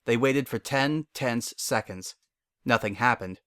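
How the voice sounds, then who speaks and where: A man's voice: medium pitch, a little slow, a bit bright, clean tone, no room sound. Man narrating a story, dry close sound.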